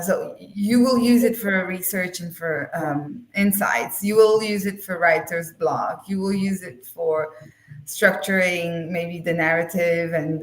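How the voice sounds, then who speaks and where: A woman hosting a conversational interview, pausing often as she forms long, hesitant questions. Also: lowish voice. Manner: hesitant, pauses while forming long questions. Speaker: live stream host, interviewer. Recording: roomy, echoey sound.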